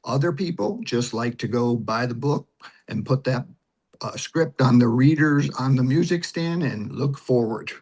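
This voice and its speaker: A man with an ordinary mid-range voice answers as an interview guest. He explains casually and haltingly, breaking his thoughts into short pieces with frequent hesitations. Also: medium pitch, a little mellow. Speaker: guest, community theater member.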